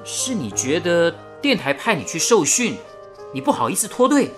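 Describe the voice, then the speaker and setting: A man's voice: fairly high pitch, sing-song, moderate pace, a bit bright. An actor playing a polite, devoted young male engineer in a radio drama, a little hesitant in manner. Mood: curious, admiring. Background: music.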